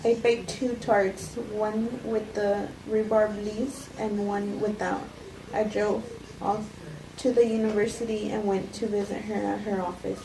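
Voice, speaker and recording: A woman reading the part of a self-justifying murderess calmly confessing, in a halting amateur table read. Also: medium pitch, natural voice. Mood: matter-of-fact. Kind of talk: cold table read of a script. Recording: echoey room, distant mic, noisy background.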